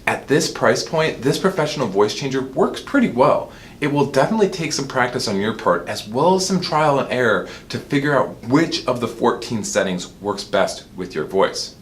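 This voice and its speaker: A man's voice: mid-range voice, expressive, normal speed, hardly any pauses, slight background noise. A man presenting a product review as a specialist, explaining things to viewers in an informal, practical way. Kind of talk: product review presentation, part scripted, part demonstration.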